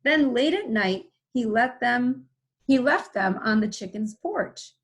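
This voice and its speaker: A woman's voice: fairly high pitch, lively intonation, takes it slowly. A woman hosting a children's read-aloud, telling the story expressively with casual asides and simple explanations. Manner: explains words as she reads.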